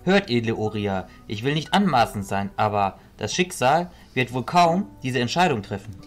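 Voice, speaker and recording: Grumpy, demanding male illusionist actor in role, medium pitch, lively inflection, fantasy audio drama. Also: normal speed, soft background music, close to an everyday voice. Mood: sceptical. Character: gruff bearded male illusionist leader, protective, sceptical.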